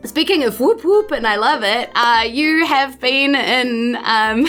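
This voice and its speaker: Slow-talking New Zealand woman as game master. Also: high-pitched, expressive, fairly bright tone, faint music behind. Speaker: dungeon master, host. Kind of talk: chatty game narration, recapping a tabletop adventure.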